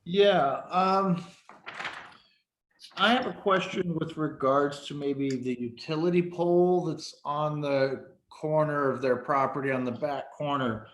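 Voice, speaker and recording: A man's voice: on the high side, unhurried, echoey room, slight background noise, poor audio. A man serving as planning commissioner, asking a tentative question at a public meeting.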